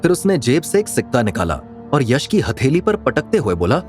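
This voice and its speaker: Guy narrating a dramatic audio story, very animated intonation, music behind. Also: medium pitch, moderate pace.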